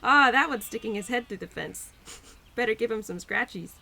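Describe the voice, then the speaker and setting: A woman voicing a cheerful, playful young spirit of Spring in an audio roleplay, gentle and affectionate with a giggly, easily flustered manner. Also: fairly high pitch, moderate pace, vocal fry, exaggerated voice. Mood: delighted, affectionate. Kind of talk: audio roleplay addressed to the listener.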